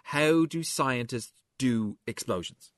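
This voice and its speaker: A man co-hosting a show, joking and getting flustered, with a lively, laughing delivery. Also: medium pitch, slow pace, dry recording, clean studio sound. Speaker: co-host. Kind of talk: playful scripted banter.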